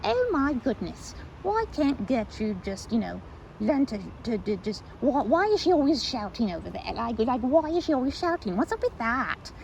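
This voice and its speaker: A woman playing a timid, excitable park regular in an ASMR roleplay, stammering and chattering apologetically in a one-sided conversation. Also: a little high, moderate pace, gravelly, a little hiss or hum, rough recording. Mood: playful mimicry. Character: timid, rambling young woman, excitable park regular who loves ducks.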